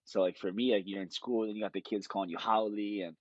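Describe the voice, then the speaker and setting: A man's voice: on the low side, fairly even intonation, fast talker, no room sound, highs cut off. A man, telling stories in a rambling, easygoing way as a podcast guest. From Hawaii. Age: late 20s. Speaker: guest, jiu-jitsu fighter. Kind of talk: casual podcast conversation.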